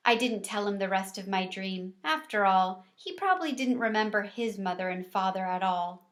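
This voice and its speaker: A woman reading a children's storybook aloud, deliberately and expressively, as if for young listeners. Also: medium pitch. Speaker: read-aloud teacher or reader.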